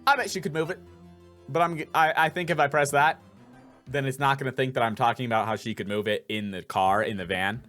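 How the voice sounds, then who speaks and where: A man's voice: medium pitch, brisk, fairly bright tone, faint music behind. A man doing casual gaming commentary, thinking aloud as he plays. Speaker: voice actor playing a game aloud.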